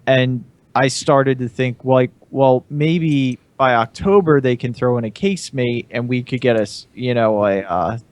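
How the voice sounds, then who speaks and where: A man's voice: medium pitch, a little slow, few pauses, clear, resonant tone, no room sound. A man talking casually as a panelist on a chat show. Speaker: podcast panelist. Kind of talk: casual chat about collectible toys.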